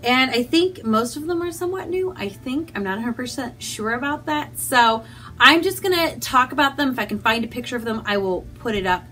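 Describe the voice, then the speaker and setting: A woman chatting casually as a book vlogger, animated and rambling, with self-deprecating asides. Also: fairly high pitch, fairly quick, faint music behind. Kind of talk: casual chatty vlog to camera.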